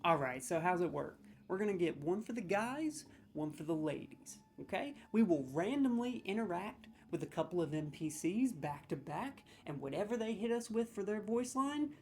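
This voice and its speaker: A man hosting a comedic gaming video, talking in an excitable, rambling, sarcastic way and swearing freely. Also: sits high, moderate pace, few pauses.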